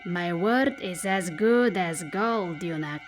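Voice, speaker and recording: A woman's voice: lowish voice, slow pace, music in the background. An actress playing a bold, teasing, dangerous female forest spirit in an audio drama. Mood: taunting.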